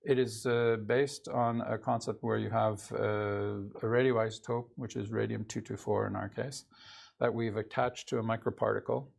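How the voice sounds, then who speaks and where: A man's voice: fairly low pitch, little pitch movement, moderate pace, creaky. A middle-aged man with a Norwegian accent, answering interview questions in a studio, hesitant and full of filler sounds. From Canada. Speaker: company CEO.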